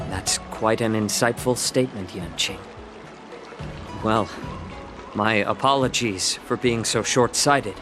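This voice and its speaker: An actor playing a polite, shrewd man with veiled cynicism, speaking in a measured, understated way in a video game. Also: on the low side, music in the background. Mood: polite, probing. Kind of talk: English video game voice acting.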